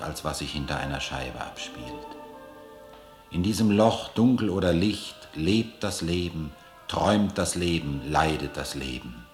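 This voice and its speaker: A man reading a prose poem aloud as a solemn, measured and emphatic recitation. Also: low-pitched. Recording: echoey room, faint music behind. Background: faint music.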